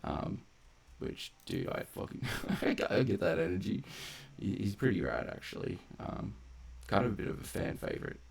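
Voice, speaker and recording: Very deep, creaky-voiced man commentating a game. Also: big pitch swings, normal speed. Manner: rambling, tangential, explains game mechanics in detail. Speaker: game streamer and narrator of a playthrough. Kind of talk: casual unscripted gameplay commentary.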